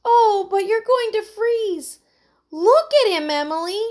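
A woman voicing an excitable, easily frightened girl in a children's story, crying out dramatically. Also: very high voice, slow, voice pushed up, heavily acted. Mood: grateful, concerned. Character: frightened, excitable girl stranded in a sinking boat.

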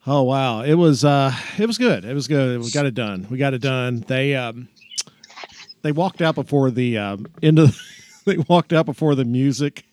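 A man's voice: mid-range voice, lively intonation, normal speed, a little mellow. A man in his forties, a regular on a casual podcast, chatty and storytelling, restarting sentences and laughing as he goes. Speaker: podcast co-host, school staff.

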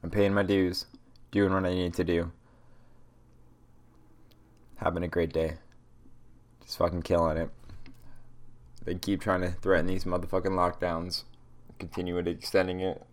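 A man's voice: low-pitched, flat delivery, measured pace, long pauses. A young man hosting a solo podcast, ranting in a rambling, profane, motivational way, stuffy-nosed from a cold. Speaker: podcast host. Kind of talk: casual solo podcast rant.

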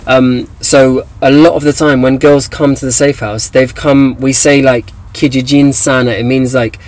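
A man's voice: medium pitch, flat delivery, moderate pace, a little mellow. A young man with an English accent, a guest in an interview, talking casually with many fillers and restarts. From England. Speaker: guest, activist.

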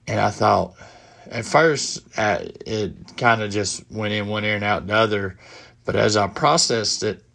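A middle-aged man with a head cold hosting a casual solo podcast from his home office, speaking haltingly with many fillers and restarts. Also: on the low side, creaky, a bit bright. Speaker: podcast host.

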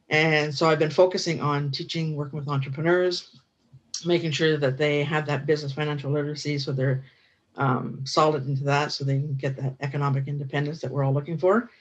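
A woman's voice: sits low, highs cut off. A middle-aged woman from Ottawa with an Ottawa Canadian accent, telling her work story in an easygoing, matter-of-fact way as an interview guest. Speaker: guest, business finance educator.

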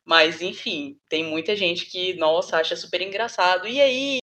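A woman with a São Paulo accent and a strong R, speaking in a lively, casual conversation. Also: fairly low pitch, normal speed. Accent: São Paulo Portuguese.

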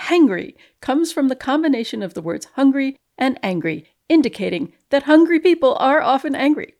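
A woman's voice: high voice, big pitch swings, normal speed, darkish tone. A woman reading formal scientific text aloud in a precise, straight-faced manner. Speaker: guest reader, scientist. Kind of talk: dramatic reading aloud of a research paper.

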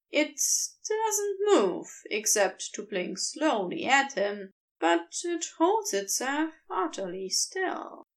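Podfic reader: woman, slow measured pace, lively pitch, fairly high voice. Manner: measured expressive storytelling. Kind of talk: fanfiction audiobook narration.

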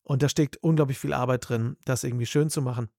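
A man's voice: mid-range voice, fairly even intonation, fast talker, gravelly, dark tone, no echo, studio quality. A male voice actor and dubbing director in a casual interview, speaking animatedly and thinking aloud with plenty of fillers.

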